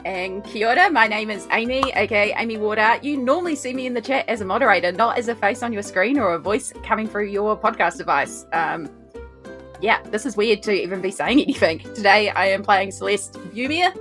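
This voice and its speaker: A woman with a New Zealand accent talks casually as a player at a roleplaying game. Her pitch sits in an ordinary middle range, and soft music runs behind her. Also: a little fast. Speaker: guest player and chat moderator. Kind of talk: casual chat.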